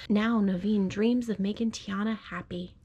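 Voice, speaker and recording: A woman speaking gently, as a parent does to a small child at bedtime. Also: medium pitch, steady tone, normal speed, husky, highs cut off. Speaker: parent reading aloud. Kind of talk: bedtime storybook read-aloud. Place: bedroom at home.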